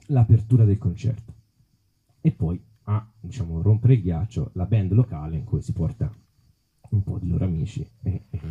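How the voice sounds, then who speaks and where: A man's voice: fairly low pitch, mellow, husky, highs cut off. A man giving an informal public talk as an author, explaining and thinking aloud as he goes. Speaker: author presenting his book on rock music.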